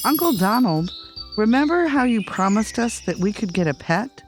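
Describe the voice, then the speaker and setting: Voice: medium pitch, sing-song, moderate pace, over music, voice pushed up, lightly acted. A narrator voicing an eager, pleading young boy duckling, a nephew in a children's storybook.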